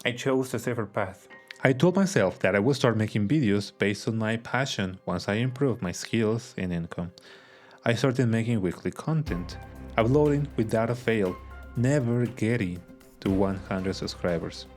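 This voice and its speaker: Low-voiced man telling his own story. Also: animated, normal speed. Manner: earnest and reflective. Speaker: vlogger. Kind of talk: personal story narration. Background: soft guitar music.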